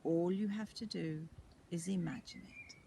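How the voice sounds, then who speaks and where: A woman's voice: on the low side, unhurried, warm tone, a little hiss or hum. A woman voicing a courteous, kindly male shopkeeper in a children's storybook, with polite, formal patter.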